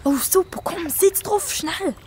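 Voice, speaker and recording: A woman's voice: very high voice, unhurried, crowd noise. A woman playing an earnest, sensible young girl who looks after her brother, in a children's radio play. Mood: earnest, urging.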